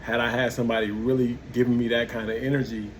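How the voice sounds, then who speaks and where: A man's voice: medium pitch, flat delivery, fast talker, slight background noise. An American man speaking in a casual, candid monologue, slangy, repeating himself as he searches for words. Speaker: vlogger sharing personal experience of prison.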